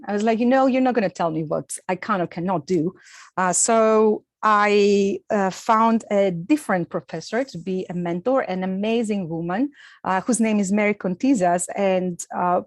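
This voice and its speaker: Greek-accented woman, middle-aged, mid pitch, earnest presenter, dry close mic. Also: no room sound. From Greece. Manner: earnest, with frequent uh and um fillers. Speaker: astronomer giving a talk. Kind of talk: presented talk with slides.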